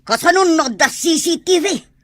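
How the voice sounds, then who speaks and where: High-pitched frantic bandit accomplice. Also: female voice, expressive, moderate pace, fairly bright tone, dry recording, studio quality. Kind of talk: radio drama acting.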